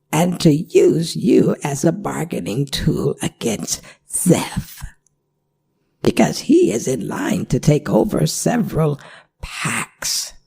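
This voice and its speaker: A woman playing a worried, gentle older werewolf mother in an ASMR roleplay, speaking tenderly and dramatically to the listener in a gravelly, breathy voice. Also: low voice, heavily acted. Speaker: voice actor.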